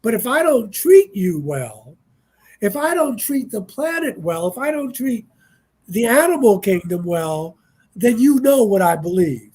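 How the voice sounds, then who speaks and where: A man's voice: high voice, very animated, measured pace, darkish tone, husky. A man in his sixties, a panel guest speaking in a reflective, easygoing way on a casual radio talk show. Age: 60s.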